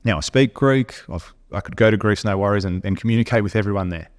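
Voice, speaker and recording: An Australian man co-hosting a podcast, talking bluntly in an animated, opinionated way, with frequent restarts. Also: lowish voice, quick pace. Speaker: podcast co-host. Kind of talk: casual opinionated chat.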